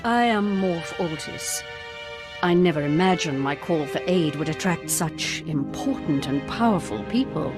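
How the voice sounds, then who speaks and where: A woman's voice: medium pitch, natural voice. Woman as a haughty imperial commander over music. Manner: formal, commanding, disdainful. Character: stern, haughty female imperial military commander. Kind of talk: scripted video game acting.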